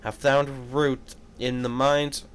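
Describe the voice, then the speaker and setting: A man's voice: mid-range voice, flat delivery, slow, clean tone, natural voice. A man reading a fan fiction aloud as a formal, threatening ancient demon, pompous and grandiose. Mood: menacing, scornful. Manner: pompous, archaic wording.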